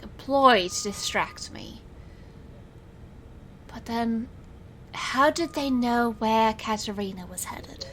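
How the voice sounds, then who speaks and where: A woman's voice: a little high, a little hiss or hum. A woman playing a showy, defiant young magician in an audio drama, with theatrical delivery and fierce protectiveness. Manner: theatrical showman delivery, swinging to hushed narration. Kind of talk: audio drama acting with first-person narration.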